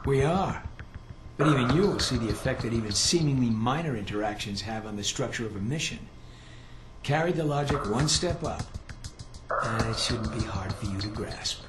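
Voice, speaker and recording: A man's voice: medium pitch, animated, moderate pace, noisy background, natural voice. A man playing a curt, condescending intelligence analyst in a video game, with dry, clipped, formal delivery. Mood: lecturing. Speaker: intelligence analyst and mission handler. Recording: roomy echo, loud game music behind.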